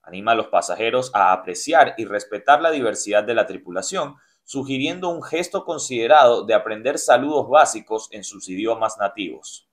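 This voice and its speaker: A man's voice: mid-range voice, animated, moderate pace, hardly any pauses. A man in his thirties hosting a cruise news show, reading headlines and then commenting earnestly with many fillers and restarts, in a small indoor room. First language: Spanish. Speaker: cruise news host and travel agent. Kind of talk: news roundup with personal commentary. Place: small indoor room, plain beige wall.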